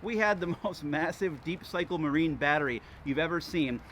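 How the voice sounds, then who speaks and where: A man's voice: a little high, animated, moderate pace, a bit bright, slight background noise. An American man chatting casually and enthusiastically in a folksy way, as the host of a hobby vlog. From Wisconsin, United States. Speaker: host of a ham radio hobby channel. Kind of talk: casual vlog chat, reading out viewer responses.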